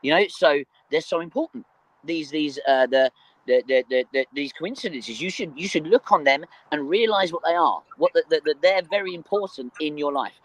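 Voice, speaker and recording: A man with a fairly high voice talks in a casual spiritual discussion. He is earnest and emphatic, and often stammers, repeating small words as he builds his point. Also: expressive, moderate pace, no room sound, poor audio. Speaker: discussion group participant.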